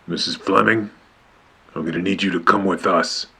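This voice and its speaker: Gruff, low-voiced police sergeant acted by a man. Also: fairly even intonation, moderate pace, pushed and bright, exaggerated voice. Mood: curt authority. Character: brisk, gruff New York police sergeant. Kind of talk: noir radio play acting.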